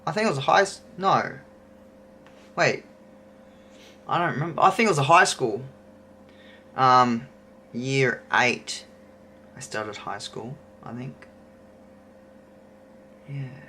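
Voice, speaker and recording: A man's voice: mid-range voice, takes it slowly, long pauses, bright, forceful tone, a little hiss or hum. A man in his thirties with an Australian accent, chatting casually to camera and thinking aloud as he rambles. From Queensland, Australia. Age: 30s. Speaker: YouTuber host.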